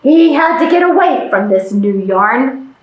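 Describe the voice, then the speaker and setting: A woman's voice: high-pitched, expressive, unhurried, a little hiss or hum. A woman presenting a children's storytime in an exaggerated, playful way, often putting questions to young listeners. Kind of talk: animated children's storytime, reading and acting out. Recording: roomy, echoing room sound.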